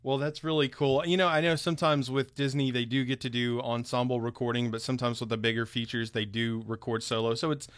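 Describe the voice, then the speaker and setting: A man's voice: mid-range voice, flat delivery, fairly quick, hardly any pauses, dry recording, clean studio sound. A man hosting an interview, encouraging and upbeat with his guest. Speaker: interviewer host. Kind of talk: casual interview chat.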